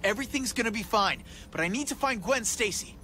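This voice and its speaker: A man's voice: lively intonation, a little fast. A man voicing a young, driven superhero in a video game, earnest and urgent, with a quippy streak. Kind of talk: video game cutscene voice acting. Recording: loud music and rumble behind voice.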